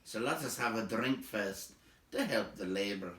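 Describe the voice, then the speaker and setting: A man's voice: fairly low pitch, animated, normal speed, fairly bright tone. A man voicing a sociable, genial elf butler to a king in a storybook. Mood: genial, inviting. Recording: roomy echo, distant from the mic.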